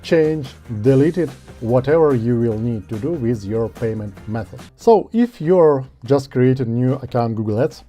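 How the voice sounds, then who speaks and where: A man's voice: mid-range voice, lively intonation, normal speed, few pauses, warm tone, soft background music. A man, explaining an online tutorial step by step in English. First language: Ukrainian. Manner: explains step by step in non-native English, gesturing with his hands. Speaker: online ads instructor. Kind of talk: screen-share tutorial. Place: dark studio with blue backlight. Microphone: small lapel mic.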